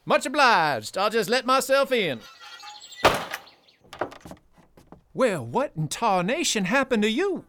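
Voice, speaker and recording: Folksy Southern sheriff: high, very animated drawl, genial but controlling, radio drama, noisy recording. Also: male voice, normal speed. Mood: hearty. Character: folksy, nosy small-town Louisiana sheriff, slyly controlling. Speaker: actor playing a sheriff.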